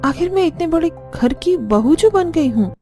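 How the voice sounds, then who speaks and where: A woman's voice: very high voice, animated, normal speed, warm tone, soft background music. A woman voicing a naive young new bride in a scripted family cartoon. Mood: dreamy, pleased. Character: young new bride, naive, dismayed by old-fashioned in-laws.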